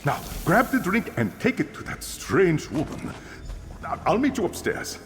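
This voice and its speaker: An actor playing a friendly, chatty innkeeper and eager drink inventor in a fantasy video game, theatrical and animated. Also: male voice, high-pitched, unhurried. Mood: attentive coaching. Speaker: innkeeper giving instructions. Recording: long reverb, music behind.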